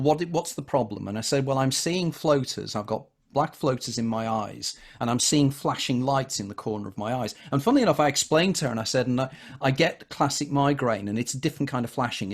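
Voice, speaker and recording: A man hosting an interview, his voice gravelly with a touch of fry. He is chatty and discursive, drifting from one anecdote into the next. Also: medium pitch. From England. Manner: discursive, recounts anecdotes at length. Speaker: interviewer, author. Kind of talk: casual interview conversation, storytelling.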